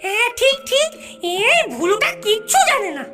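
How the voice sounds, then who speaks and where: Casting: tiny squabbling cartoon imp, extremely high childlike pitch, repetitive and stammery, loud music bed. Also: big pitch swings, moderate pace. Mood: eager, argumentative. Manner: squabbling, repeats words, hesitant stammering starts. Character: small excitable bickering ghostly imp, a witch's helper. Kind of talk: cartoon voice acting.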